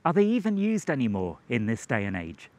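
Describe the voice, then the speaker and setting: A man's voice: mid-range voice, wildly swooping pitch, fairly quick. A man in his forties presenting an explanatory vlog in a conversational, methodical way. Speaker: video presenter. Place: outdoors and inside a moving car. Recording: clear ambient outdoor and car noise.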